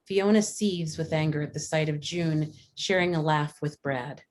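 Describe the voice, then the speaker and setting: Female narrator for screenplay stage directions; deep-pitched voice, scripted read. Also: fairly even intonation, moderate pace, darkish tone, a little hiss or hum.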